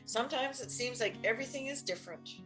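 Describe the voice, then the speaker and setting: A woman's voice: sits high, fast talker, fairly bright tone, soft background music. An older woman playing a firm, practical, exasperated but loving wife in an audio play, matter-of-fact and insistent. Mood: wry. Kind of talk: audio play stage acting.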